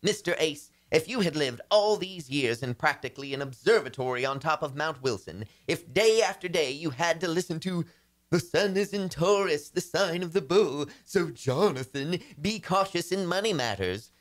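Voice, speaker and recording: Guy doing high fussy henpecked older man, old radio comedy, preachy sing-song sayings. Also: lively intonation, normal speed, lightly acted. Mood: aggrieved. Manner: sententious, sing-song recitation. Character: fussy long-suffering older husband fond of reciting proverbs.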